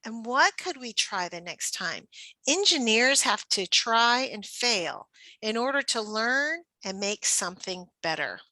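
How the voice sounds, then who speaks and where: A woman presents as a teacher trainer with a bright, expressive voice on the high side. She explains in an instructional way and often puts questions as one would to young children. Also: measured pace, no echo.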